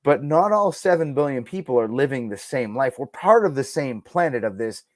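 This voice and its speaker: A man's voice: medium pitch, expressive, moderate pace, darkish tone, dry recording, studio quality. A man talking casually as a podcast guest, giving emphatic, musing opinions peppered with "like" and "you know".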